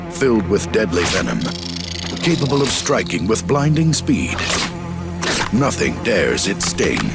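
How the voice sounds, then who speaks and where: An actor playing a grave, ominous advert announcer, portentous and full of dramatic pauses, over loud music. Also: mid-range voice, creaky, full character voice.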